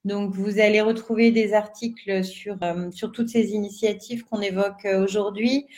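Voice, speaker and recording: A woman's voice: medium pitch, flat delivery, moderate pace, darkish tone. A woman from northern France speaking on a webinar panel, explaining things earnestly with frequent hesitations. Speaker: panelist, self-employed business owner.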